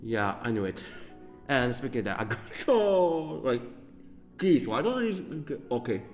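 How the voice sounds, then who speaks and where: A man's voice: mid-range voice, very animated, normal speed, soft background music. A man giving casual gameplay commentary as a streamer, rambling with many fillers and chuckles. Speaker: gaming streamer.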